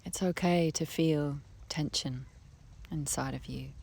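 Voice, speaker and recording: Very slow, soft-voiced woman guiding relaxation. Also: low voice, steady tone, vocal fry, slightly rough. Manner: gentle, unhurried, long pauses. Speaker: guided relaxation teacher. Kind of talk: guided meditation, soothing slow instruction. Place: outdoors beside a harbour. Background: birdsong, trickling stream, breeze.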